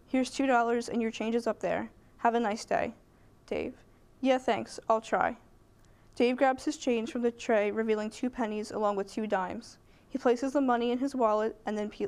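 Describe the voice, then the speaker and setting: Higher-pitched woman reading a screenplay. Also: gravelly, darkish tone. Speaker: screenplay reader. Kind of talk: scripted dramatic reading of screenplay.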